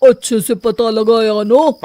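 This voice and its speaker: A woman's voice: on the high side, fairly even intonation, slow pace, mellow, no echo. A woman voicing a cocky, scheming burglar's accomplice in a cartoon, with a sly manner.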